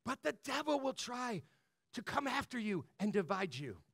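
Guy pastor preaching, super animated up and down delivery, talks like your bro, dry close sound. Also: very high-pitched, normal speed, creaky, dry recording. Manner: animated, colloquial, punctuates points with "right?" and "bro". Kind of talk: conversational, motivational sermon.

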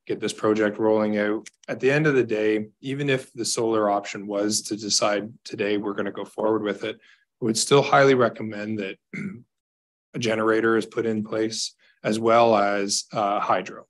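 A Canadian man in his thirties, a staff member reporting to a council over a video call from an office, measured and hesitant with fillers. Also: on the low side, level tone. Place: small office.

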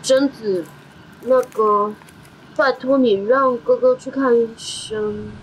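A woman's voice: a little high, fairly even intonation, takes it slowly, a little hiss or hum, rough recording. A woman voicing an earnest, determined young boy who worries for his older brother, in amateur audio drama style.

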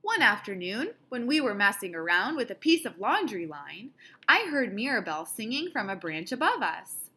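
A woman reading a children's picture book aloud in an expressive style, with deliberate, dramatic phrasing. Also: mid-range voice. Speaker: storybook reader.

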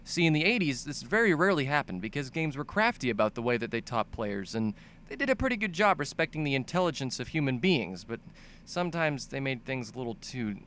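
A man's voice: mid-range voice, big pitch swings, brisk, a bit bright, slight background noise. A young man narrating a comedic scripted video essay about games in an exaggerated, sweary, ranting manner. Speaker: video game commentator.

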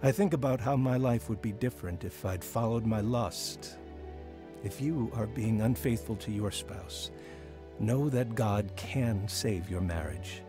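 Repentant middle-aged American husband played by a man, sincere first-person radio drama narration, lower register, scored with music. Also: a little slow, natural voice. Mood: earnest, urging. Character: earnest repentant father and husband telling his life story. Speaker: lead actor narrating his testimony. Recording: music bed under the voice.

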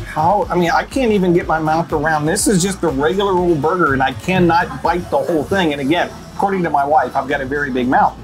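A man's voice: fairly high pitch, quick pace, hardly any pauses, music in the background, poor audio. A middle-aged man hosting a food show, asking upbeat, jokey questions across a restaurant table. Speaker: host. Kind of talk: casual food show interview.

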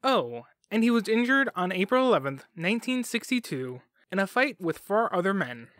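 Guy with a highish voice narrating a history podcast, kinda wry, real dry sound. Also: big pitch swings, moderate pace, fairly bright tone, no echo. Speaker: podcast host. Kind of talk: scripted documentary narration.

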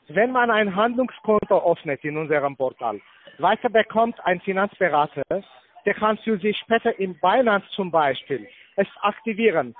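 A man working as a finance agent on the phone, speaking haltingly in broken, non-native German phrasing. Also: sits high. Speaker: finance department agent at a call centre. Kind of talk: phone sales talk. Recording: tinny narrow phone line.

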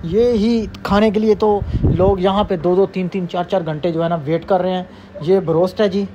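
A man's voice: sits high, fairly even intonation, normal speed, background noise. A man casually narrating a food vlog, upbeat and chatty, repeating his praise and talking straight to his viewers. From South Asia. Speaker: food vlogger. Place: busy shopping mall food court, indoors. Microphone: phone camera held in hand. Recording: muffled phone audio, loud mall crowd.

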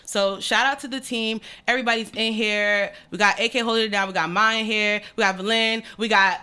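A young woman hosts a podcast from a small studio mic, talking in a hyped, slangy way and laughing often. Her voice is bright and forward. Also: on the high side, fairly even intonation, brisk, clear, resonant tone. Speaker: podcast host. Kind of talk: casual interview chat. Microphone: studio mic on stand.

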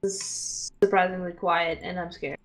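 A woman's voice: lowish voice, little pitch movement, moderate pace. Playful young woman chatting while gaming. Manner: playful, pleading, teasing. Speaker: co-player in a multiplayer game. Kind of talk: casual gameplay chat. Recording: faint game gunfire behind. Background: gunfire from a war game.

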